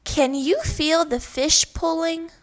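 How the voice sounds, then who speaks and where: A woman's voice: extremely high pitch, steady tone, slow pace, voice pushed up, exaggerated voice. A woman voicing a sly, scolding male fox in a children's storybook, emphatic and teasing. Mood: instructing.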